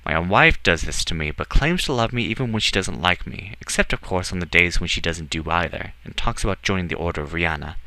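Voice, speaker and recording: A man voicing a sardonic, haughty tall male elf with a quick temper and a theatrical, teasing manner in a storybook reading. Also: deep voice, lively intonation, sharp tone, dry recording, heavily acted. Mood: sardonic, amused. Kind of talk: audiobook character acting.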